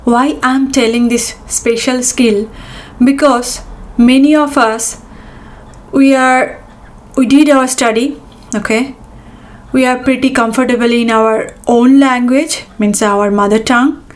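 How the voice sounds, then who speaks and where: A woman's voice: on the high side, fairly even intonation, takes it slowly. A middle-aged woman from India, a native Bengali speaker with a Bengali-accented English, hosting a casual podcast monologue with many fillers and restarts. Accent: Bengali-influenced English. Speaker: podcast host.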